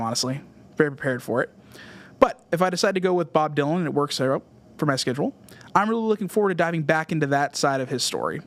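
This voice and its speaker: A man from Southern California, hosting a solo music podcast in an earnest, scripted essay style. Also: a little high. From Southern California, USA. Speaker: podcast host.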